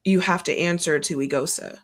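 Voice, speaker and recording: A woman's voice: lowish voice, brisk, crowd noise. A woman hosting a podcast interview, asking questions and affirming her guest encouragingly. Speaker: podcast host.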